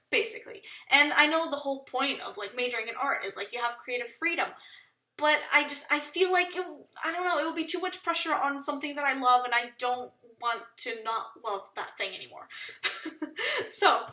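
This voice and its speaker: Young woman, from Portugal, high-pitched, self-conscious rambling, echoey room. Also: bright, forceful tone, distant mic. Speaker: vlogger. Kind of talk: rambling casual monologue.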